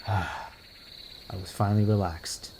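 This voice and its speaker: A man narrating a first-person horror story in a casual, expressive, conversational way. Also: fairly low pitch, measured pace, background noise. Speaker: creepypasta narrator. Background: music under the voice.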